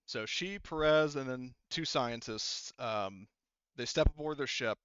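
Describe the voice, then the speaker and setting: A man running a tabletop roleplaying game as game master, narrating off the cuff in a casual, halting, rambling way. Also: medium pitch, fairly bright tone, smooth, no room sound, dull audio.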